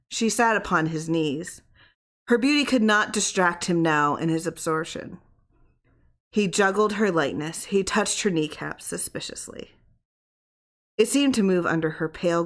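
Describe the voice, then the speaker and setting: A woman reading a literary horror story aloud in expressive audiobook narration. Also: lowish voice, a little slow. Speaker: audiobook reader.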